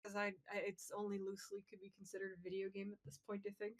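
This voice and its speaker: A woman's voice: mid-range voice, completely flat delivery, very fast. A woman chatting casually as a co-host on a podcast.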